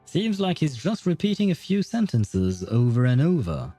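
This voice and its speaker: Male game streamer, mellow, dry close mic, rambling and hesitant, faint game music. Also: on the high side, very animated, normal speed, no echo. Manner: rambling, hesitant, many fillers, laughs often. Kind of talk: livestream gameplay commentary, reading chat.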